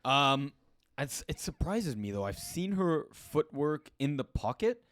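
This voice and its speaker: A man co-hosting a sports podcast, talking haltingly with many fillers and quick agreements. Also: fairly high pitch, very animated, fairly bright tone, dry recording, studio quality. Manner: hesitant, lots of fillers and agreeing interjections. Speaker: podcast co-host. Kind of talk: casual sports chat.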